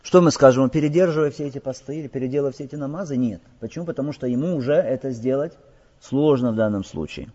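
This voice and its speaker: A man's voice: mid-range voice, fast talker, warm tone, slightly rough. A man teaching religious law in a lecture, explaining texts and asking his listeners rhetorical check-in questions, with passages recited in Arabic. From Crimea. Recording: dull lo-fi audio with long hall reverb.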